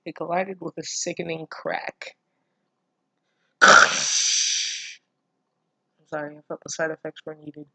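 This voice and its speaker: A person reading fan fiction aloud casually, breaking off for expressive, incredulous asides full of swearing. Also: medium pitch, unhurried, gravelly, long pauses, dry recording, rough recording. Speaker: online reader reacting to fan fiction. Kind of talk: casual read-aloud with running commentary.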